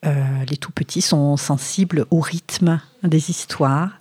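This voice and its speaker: Woman from southwest france w/ that regional accent, soft mellow tone, dry close sound, chatting in an interview, searches for words. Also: deep voice, fairly even intonation, slow, husky, no room sound. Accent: southwestern French. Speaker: storyteller and writer, interview guest. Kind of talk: conversational interview answers.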